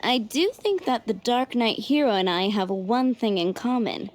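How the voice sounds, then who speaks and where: A woman's voice: on the high side, animated, normal speed, noisy background, natural voice. A woman voicing a wry, confident female tavern boss in a video game, playful and teasing. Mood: musing. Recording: music playing behind the voice.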